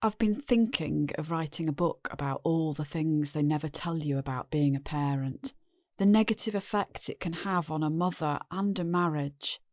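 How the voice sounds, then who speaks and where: A woman's voice: sits low, moderate pace, a little mellow, dry recording, clean studio sound. An actress with a British accent playing a weary, resigned middle-aged wife and mother, confessing plainly in an audio drama. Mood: defensive, confessional. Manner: plain-spoken, world-weary.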